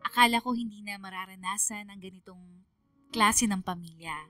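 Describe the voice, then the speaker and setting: A woman's voice: mid-range voice, fairly even intonation, normal speed, pushed and bright, soft background music, natural voice. A woman playing a sentimental young Filipino daughter who narrates her letter in a heartfelt, confiding way for a radio drama. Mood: grateful, tender.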